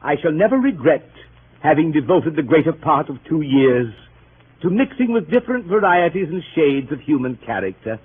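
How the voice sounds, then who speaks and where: An actor playing a dignified, earnest older gentleman with stately, oratorical delivery in 1930s radio style. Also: on the high side, big pitch swings, moderate pace, phone-quality sound. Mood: earnest, heartfelt. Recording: thin noisy 1930s radio broadcast.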